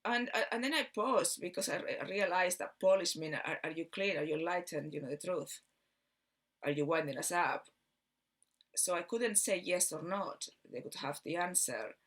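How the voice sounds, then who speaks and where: A woman from Barcelona with a Spanish accent tells a rambling personal story in a halting way, repeating words and trailing off. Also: fairly low pitch, a bit bright. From Barcelona, Spain. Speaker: video host telling personal story.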